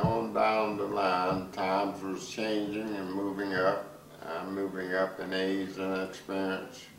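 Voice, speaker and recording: An older man, reminiscing slowly and deliberately in halting phrases. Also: low-pitched, vocal fry. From Texas, United States. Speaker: documentary interviewee. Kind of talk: slow spoken reminiscence. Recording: roomy, distant mic, faint noise.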